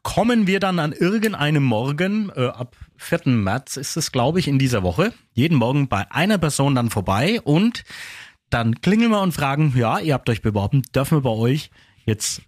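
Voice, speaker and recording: A man's voice: a little high, sing-song, normal speed, no echo, studio quality. A man with a Franconian accent co-hosting a morning radio show, explaining at length and correcting himself as he goes. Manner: rambling, self-correcting explainer. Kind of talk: casual radio banter.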